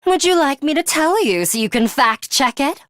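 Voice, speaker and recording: A woman voicing a cool, guarded young female fighter in a video game, with a measured, composed and imperious way of speaking. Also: high voice, very animated, a bit bright, no room sound, clean studio sound. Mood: coolly confrontational. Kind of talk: English video game voice acting, dramatic anime-style dialogue.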